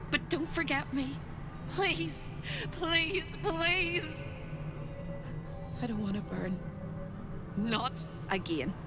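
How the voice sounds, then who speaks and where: A high, wildly swooping voice of a fractured captive woman, desperate and erratic. She speaks slowly and repeats herself in dramatic game style, with music playing behind her. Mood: frantic pleading, then fearful. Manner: erratic, repetitive, swinging between hushed instruction and frantic begging. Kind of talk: dramatic video game acting.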